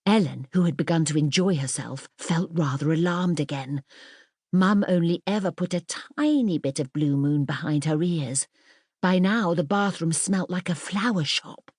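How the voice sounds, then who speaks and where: A woman reading a children's story as an audiobook narrator, in an expressive, scripted storytelling style. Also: on the low side, normal speed, no room sound, studio quality.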